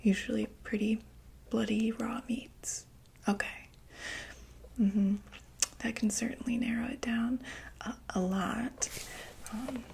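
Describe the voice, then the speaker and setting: A young woman whispering softly as a doctor in a close-miked ASMR roleplay, reassuring and full of small fillers. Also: fairly high pitch, vocal fry, slight background noise, rough recording. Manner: soft, slow, reassuring bedside whisper with many fillers. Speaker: ASMR creator playing a monster doctor.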